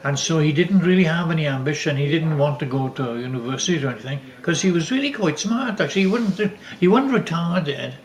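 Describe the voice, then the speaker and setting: An older man telling family stories casually, rambling and halting with repeated false starts. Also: a little high, animated. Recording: echoey room.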